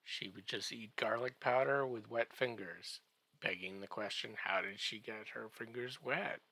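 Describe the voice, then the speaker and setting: Guy doing a jokey podcast recap, slow and halting, crisp bright voice w some fry, wry asides. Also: fairly low pitch, dry recording. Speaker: podcast co-host giving an episode recap. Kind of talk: comedic recap monologue.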